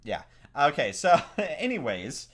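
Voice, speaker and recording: A man's voice: a little high, wildly swooping pitch, slow pace. A man hosting a casual gaming podcast, bantering informally and steering the discussion. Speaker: podcast host. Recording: noisy amateur podcast recording.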